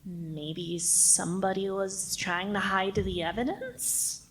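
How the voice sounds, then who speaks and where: A woman playing a nervous, slow-witted, apologetic janitor in a murder mystery radio play, flustered and stammering. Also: medium pitch, fairly even intonation, a bit bright, poor audio. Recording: noticeable background noise.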